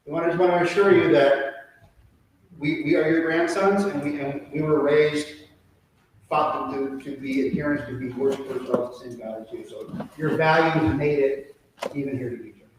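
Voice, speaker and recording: A man's voice: a little high, steady tone, normal speed. A man leading a synagogue service, speaking informally and conversationally, often repeating and rephrasing as they go. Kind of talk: informal sermon and prayer leading at a live service. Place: large reverberant synagogue room during a service. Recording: very echoey hall, distant mic, faint noise.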